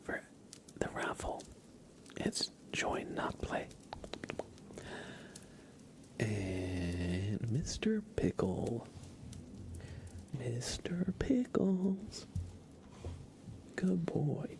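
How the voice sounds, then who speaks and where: Slow whispering man, ASMR livestream. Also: mid-range voice, very animated. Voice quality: breathy, mostly unvoiced whisper. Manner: hushed, unhurried, deliberate. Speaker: ASMR live streamer and host.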